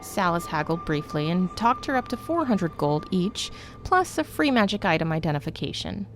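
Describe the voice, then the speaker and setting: Woman, slightly low voice, scripted fantasy storytelling, narrator, background music. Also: big pitch swings, normal speed. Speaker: narrator of a fantasy fiction podcast.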